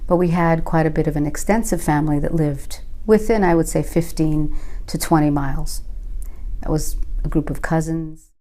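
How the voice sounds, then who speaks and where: A woman in her fifties from California, a native Sicilian and Italian speaker, giving measured, thoughtful oral history answers with frequent pauses. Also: low-pitched, steady tone, mellow, low-quality recording. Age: 50s. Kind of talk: reflective interview answers.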